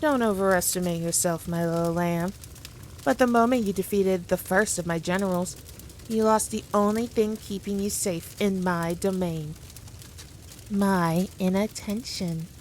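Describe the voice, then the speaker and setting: A haughty demon queen, acted by a woman, addresses the listener in a mid-pitched voice. She is smug and dominant, taunting with playful condescension in an ASMR roleplay, over noticeable background ambience. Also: steady tone, measured pace, a little mellow, a little hiss or hum, lightly acted, low-quality recording. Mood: haughty, menacing.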